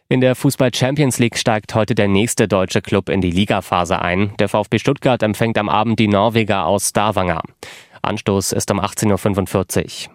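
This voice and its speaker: A man reading the radio news as studio anchor in a measured, formal way. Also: lowish voice, dry recording. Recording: dry studio sound.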